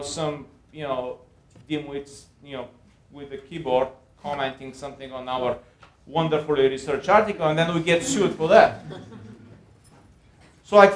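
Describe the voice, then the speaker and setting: Bulgarian accent guy giving a lecture, slightly high voice, lots of uhs and restarts, echoey room. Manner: halting, with frequent repeats and filled pauses. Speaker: panel speaker at a university lecture. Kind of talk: extemporaneous academic lecture. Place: indoor lecture event room.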